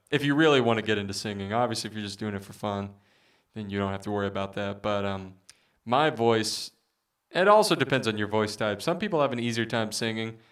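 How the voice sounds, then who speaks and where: A man with a baritone voice chatting casually with viewers as a musician streamer, rambling with many fillers. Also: fairly bright tone, clear, resonant tone, far from the mic. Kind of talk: casual livestream chat.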